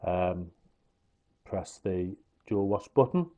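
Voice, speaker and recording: A man's voice: deep voice, slow, warm tone, muffled recording. A man explaining how a gadget works step by step, in an informal hands-on review style. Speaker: product reviewer.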